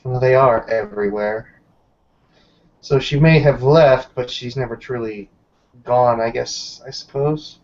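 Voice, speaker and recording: Man roleplaying thoughtful loner werewolf: evasive, measured, hesitant, medium pitch, lo-fi game-session recording. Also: darkish tone, close to an everyday voice. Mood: musing. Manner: halting, measured, musing. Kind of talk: improvised tabletop roleplay dialogue. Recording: dull lo-fi voice recording.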